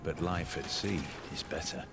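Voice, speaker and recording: An actor voicing a calm male narrator who reads out a reflective quotation in a strategy game. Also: extremely low pitch, moderate pace, creaky, noisy background. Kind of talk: measured scripted narration.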